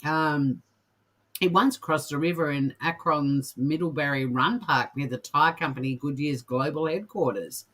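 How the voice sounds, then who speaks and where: A woman's voice: deep voice, a little slow, fairly bright tone. A woman co-hosting a comedy news show, chatting casually and reading out odd stories in a jokey, amused way.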